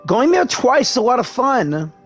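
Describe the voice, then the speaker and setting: A gaming video creator giving casual, expressive playthrough commentary and reacting informally to the story. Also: medium pitch, normal speed, slightly rough, soft background music.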